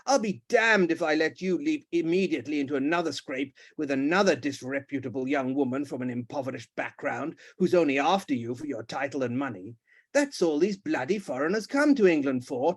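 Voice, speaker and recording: A man's voice: fairly high pitch, husky. A man doing a ranting pompous old duke. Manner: shouting, blustering.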